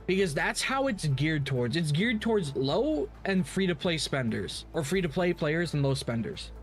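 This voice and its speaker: A man's voice: a little high, animated, normal speed, soft background music. A man giving casual livestream commentary on games as the host, rambling and opinionated, with casual swearing.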